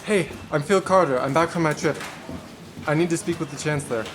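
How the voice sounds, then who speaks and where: Guy acting as unsure downcast young man, audio drama, halting speech, bit of background noise. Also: fairly high pitch, natural voice. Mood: polite. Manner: hesitant, halting delivery. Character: Phil, despondent earnest young man, unsure of himself.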